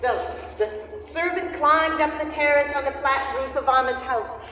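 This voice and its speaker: A woman's voice: high-pitched, moderate pace, sharp tone, a little hiss or hum. A woman narrating a story as staged reader's theater. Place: university performance hall. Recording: echoey hall, distant mic, clicks.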